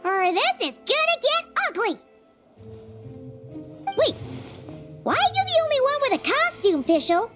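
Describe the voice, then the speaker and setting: An excitable, very high-pitched girl from a fantasy game. Her delivery is theatrical and exclamatory, swinging widely in pitch over background music. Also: measured pace, pauses often, exaggerated voice. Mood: excited, alarmed. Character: excitable tiny fairy companion girl and theatrical teenage girls. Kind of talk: English dub game dialogue.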